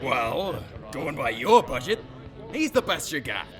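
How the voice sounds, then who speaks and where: A man's voice: medium pitch, very animated, measured pace, crowd noise. A man acting as a folksy, wisecracking space-western barkeep with a drawling, theatrical delivery. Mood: wry, gossipy. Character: folksy, wisecracking male space-saloon barkeep, showy salesman. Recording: music under the voice.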